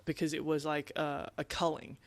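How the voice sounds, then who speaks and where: A man casually discussing a book as a podcast host, halting and repeating words, often checking in with "right?". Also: a little high, flat delivery, dry recording.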